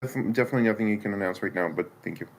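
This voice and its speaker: A man speaking casually in an online meeting as a newcomer, unsure, apologetic and halting. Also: fairly low pitch, low-quality recording. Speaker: newcomer participant in feedback session. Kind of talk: hesitant casual remarks.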